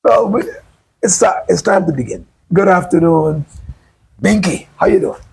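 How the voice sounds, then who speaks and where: Gravelly, husky-voiced host from Sint Maarten. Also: male voice, high voice, normal speed, rough recording. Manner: chatty, rambling, animated. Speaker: talk show host. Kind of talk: casual livestream interview. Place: outdoors by the beach.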